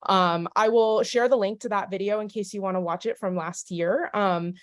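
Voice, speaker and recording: A woman's voice: mid-range voice, normal speed. A woman giving opening remarks for a sponsor, thankful and full of fillers. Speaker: sponsor representative.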